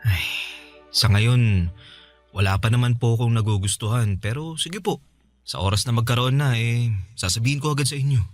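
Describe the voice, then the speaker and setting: A man's voice: medium pitch, moderate pace, close to an everyday voice. A man playing a tender, devoted grown son who speaks respectfully and coaxingly, in a radio drama. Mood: fond, earnest. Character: devoted grown son, tender and caring toward his mother. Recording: music under the voice.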